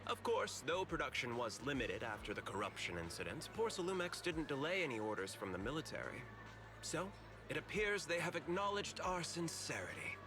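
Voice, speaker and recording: A man playing a courteous, composed corporate manager in a video game, speaking in polite, measured explanations. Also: on the high side, sing-song, over music. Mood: composed, explanatory.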